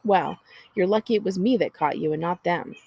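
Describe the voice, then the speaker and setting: A woman plays a bossy teenage older sister in a children's storybook. She scolds with impatient, sardonic exasperation, in a creaky, husky voice recorded dry. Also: fairly low pitch, fairly quick, a little mellow, no echo. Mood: exasperated. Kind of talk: children's storybook character reading.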